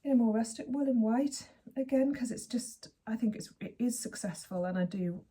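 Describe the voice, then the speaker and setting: Hoarse woman chatting about knitting. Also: fairly high pitch, moderate pace, warm tone. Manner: chatty, rambling, with frequent self-corrections. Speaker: knitting podcast host. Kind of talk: casual chat to camera.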